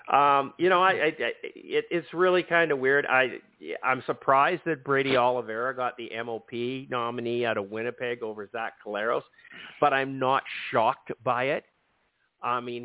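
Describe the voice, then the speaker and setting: A man hosts a casual sports podcast while he is losing his voice, so he sounds hoarse and strained. He stumbles, repeats words and restarts his sentences. The sound comes over a dry, tinny, phone-like line. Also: medium pitch, no echo. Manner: halting, repeats words when starting. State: losing his voice, sore throat. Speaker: podcast host.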